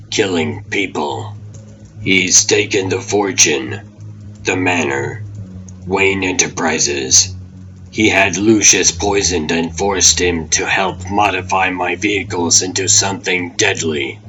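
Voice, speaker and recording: A man's voice: low voice, bright, forceful tone, slight background noise, full character voice, poor audio. A man playing a brooding, grieving masked vigilante hero in a comic dub, grave and solemn. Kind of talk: fan comic dub acting.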